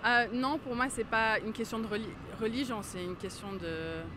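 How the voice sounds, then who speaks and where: Female street interviewee, English mother tongue with light Swiss French accent, slightly high pitch, halting delivery, noisy outdoor recording. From Australia. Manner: hesitant, searches for words. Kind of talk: casual spontaneous street interview. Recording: outdoor street with loud traffic noise.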